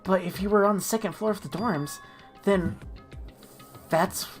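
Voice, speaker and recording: A woman voicing an earnest, questioning high school boy who narrates a game story. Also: unhurried, lightly acted. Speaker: narrator and player in a group game dub. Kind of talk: scripted narration and dialogue mixed with casual chat. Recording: music behind the voice.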